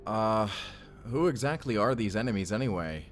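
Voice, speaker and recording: A man's voice: fairly low pitch, lively intonation, moderate pace, faint music behind, close to an everyday voice. A man playing a bewildered, apologetic reluctant recruit in a time-travel drama, speaking informally and hesitantly. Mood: uncertain, curious. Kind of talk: cinematic audio roleplay acting.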